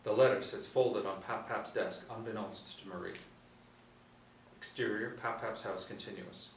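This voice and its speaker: Male narrator for script read-through, lower register, stage directions, echoey room recording. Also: steady tone, normal speed. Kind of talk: scripted table reading, narrating screenplay. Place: small indoor room. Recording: roomy, distant mic, light room noise.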